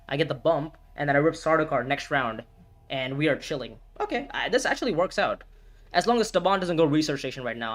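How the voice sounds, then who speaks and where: A man in his early twenties streaming a board game, thinking his moves aloud, reacting loudly and swearing at bad turns. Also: fairly high pitch. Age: 20s. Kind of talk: live gameplay commentary, thinking aloud.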